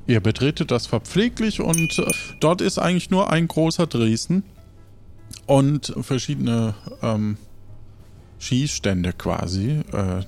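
A man narrating an improvised role-play as game master, describing scenes in a halting, casual way. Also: medium pitch, expressive, a little mellow, faint music behind.

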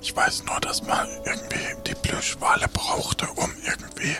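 A performer playing a blunt, crude crewmate in an improvised pirate adventure, speaking in a breathy, voiceless whisper. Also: moderate pace, sharp tone, soft background music, lightly acted. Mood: hushed.

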